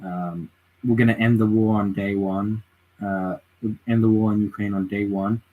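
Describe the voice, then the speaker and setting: A man's voice: fairly low pitch, fairly even intonation, moderate pace, phone-quality sound. A tired man on a late-night livestream at home, giving his opinions in a rambling way and reading chat messages aloud. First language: English. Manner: rambling, reads chat aloud, frequent restarts and fillers. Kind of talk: casual political livestream chat.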